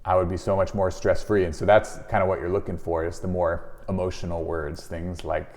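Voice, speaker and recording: A man with a low, deep voice gives an informal lesson in a conversational, explanatory way. He sounds like a practitioner coaching colleagues in everyday language. The recording is heavily reverberant, with the room ringing around his words. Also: little pitch movement, brisk, a little mellow. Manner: conversational, explanatory, voices example questions and replies. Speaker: chiropractor presenting a business advice video. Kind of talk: informal instructional talk to camera.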